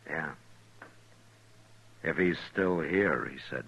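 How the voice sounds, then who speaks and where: An actor playing a calm, laconic frontier marshal in a 1950s radio western. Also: male voice, a bass voice, takes it slowly, gravelly, lo-fi sound, full character voice. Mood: dry, measured. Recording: old 1950s radio recording, faint hiss.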